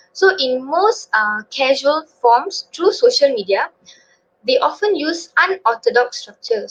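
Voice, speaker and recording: A woman from Malaysia with a Malaysian English accent, presenting in a student webinar in an earnest manner with fillers. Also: sits high. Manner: earnest, many fillers and restarts. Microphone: video call. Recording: lo-fi online call audio.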